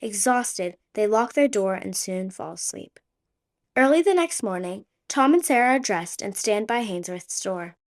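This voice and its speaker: A woman's voice: medium pitch, animated, unhurried. A woman narrating a radio play in a vivid, dramatic scripted style.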